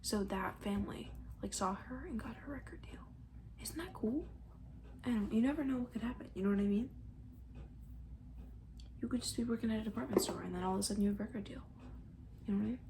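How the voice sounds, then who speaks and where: A woman doing soft-spoken ASMR roleplay as a camp counselor, chatting in a gentle, breathy, rambling way that often slips into a whisper. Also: a little high, fast talker, pauses often, noisy background. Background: banjo.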